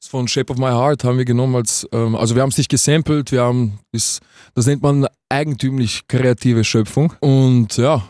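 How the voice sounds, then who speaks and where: A man's voice: medium pitch, steady tone, brisk, darkish tone, no echo, clean studio sound. A man, chatting casually as a guest in a radio interview. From Vienna, Austria. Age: 20s. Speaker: guest rapper being interviewed.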